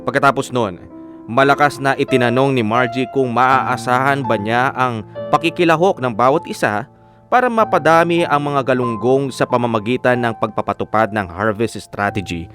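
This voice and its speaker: An actor plays an earnest young Filipino man telling his own love story for a radio drama. His narration is sincere and measured, at a medium pitch. Clear plucked guitar music plays behind him. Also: natural voice. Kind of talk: scripted first-person radio drama narration.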